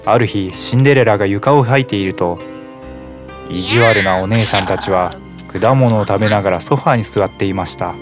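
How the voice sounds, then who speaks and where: A man narrating a fairy tale in a calm, even storytelling manner. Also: fairly low pitch, a little fast. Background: music.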